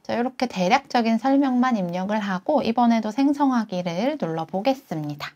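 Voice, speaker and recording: A woman hosting a software tutorial, walking through each step as she explains it. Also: on the high side, expressive, a little fast, muffled recording. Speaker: tutorial host. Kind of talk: software tutorial, screen walkthrough.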